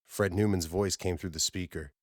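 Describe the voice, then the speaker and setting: Male audiobook narrator for scripted fiction, low register, dry recording with little room sound. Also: steady tone, normal speed, a little mellow, no room sound.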